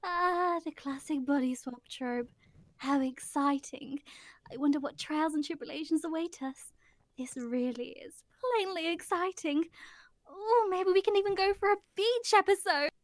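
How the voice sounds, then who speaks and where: A woman's voice: very high-pitched, measured pace, no echo. A woman voicing a theatrical, gleeful and whiny anime youngster in a comic dub, with exclamatory delivery.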